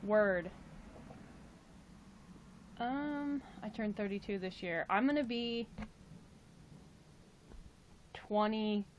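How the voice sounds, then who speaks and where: Woman, 20s, livestream host, casual chat, playful, medium pitch. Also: drawn-out words, long pauses, lo-fi sound, a little hiss or hum. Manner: chatty, jokey, with playful high exclamations. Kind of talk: casual livestream chat reading viewer comments.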